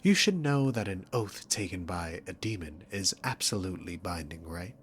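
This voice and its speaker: Male actor as demon king, deep voice, slow taunting delivery, sarcastic, theatrical villain, ASMR roleplay, noisy background. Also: animated, a bit bright. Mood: mocking reassurance. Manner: taunting, theatrical, drawn-out condescension.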